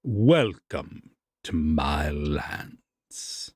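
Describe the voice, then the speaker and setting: Fae king, played by a male actor: haughty, possessive, slyly intrigued. Very slow, formal delivery, low husky voice, close dry sound. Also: very animated, no room sound, exaggerated voice. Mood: imperious. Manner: formal, slow, deliberate theatrical speech. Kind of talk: fantasy audio roleplay acting.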